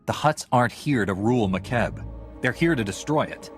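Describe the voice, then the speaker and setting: An actor playing a calm, resolute Jedi hero in a video game, speaking in a direct, measured, authoritative way. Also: male voice, medium pitch, soft background music, natural voice. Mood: earnest, resolute.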